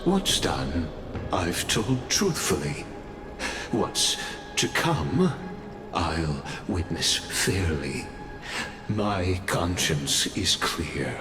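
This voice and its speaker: An actor playing a solemn, courteous, inscrutable male elder overseer in a video game, speaking in formal, measured oratory. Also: low-pitched, heavily acted. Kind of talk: theatrical video game voice acting. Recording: echoey, with loud music behind. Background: game music.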